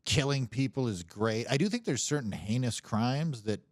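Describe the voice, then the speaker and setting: Animated man in casual comedic chat. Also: mid-range voice, normal speed, no room sound, clean studio sound. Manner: animated, profane, rambling. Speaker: livestream show host.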